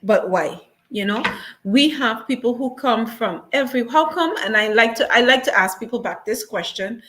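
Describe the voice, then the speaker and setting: A woman's voice: fairly high pitch, expressive, normal speed, noisy background. Earnest woman repeating points for emphasis. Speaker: museum guest. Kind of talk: casual talk show discussion.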